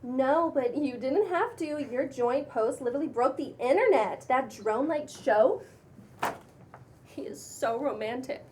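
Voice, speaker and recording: A woman's voice: high voice, unhurried, a little mellow. A woman playing a trendy, breezy young Los Angeles woman with an affected manner in a table read of a pilot script. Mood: gushing. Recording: distant microphone with faint room noise.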